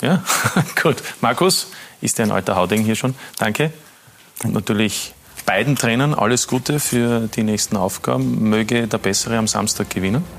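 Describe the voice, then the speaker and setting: A man with an Austrian accent hosting a sports talk show, asking measured questions with an easy, friendly laugh. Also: fairly low pitch, gravelly, a bit bright, a little hiss or hum. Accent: Austrian German. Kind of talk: studio interview. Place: TV studio.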